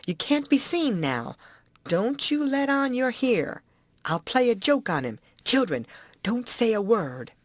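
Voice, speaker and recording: A woman voicing an excitable older farm wife who gushes in a breathless rush. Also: fairly high pitch, measured pace, no echo, voice pushed up. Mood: eager chatter. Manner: gushing, breathless chatter.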